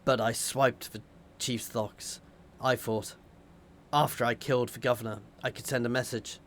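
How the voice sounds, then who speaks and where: A man with a slightly hoarse voice reading aloud the lines of a desperate male peasant rebel prisoner, in a hushed, pleading way. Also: mid-range voice, fairly even intonation, normal speed, slight background noise, close to an everyday voice.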